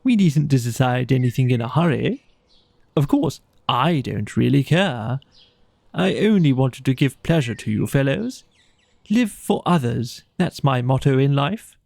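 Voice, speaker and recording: A man voicing a boastful, pushy toad gentleman for a children's audiobook, imploring and grandly persuasive. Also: medium pitch, sing-song, measured pace, vocal fry, dry recording, heavily acted. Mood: smooth, coaxing.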